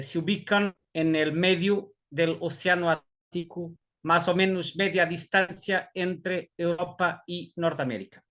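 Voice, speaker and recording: A man from Portugal with a Portuguese accent, giving an online presentation in a halting way, often repeating words as he searches for the next one. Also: on the high side, fairly bright tone. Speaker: guest speaker. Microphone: video call.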